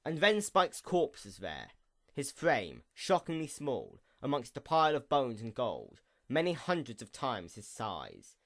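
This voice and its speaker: Male story narrator, mid-range pitch, solemn and expressive, very lively intonation. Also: unhurried. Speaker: audiobook-style fan fiction narrator. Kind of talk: dramatic scripted story narration.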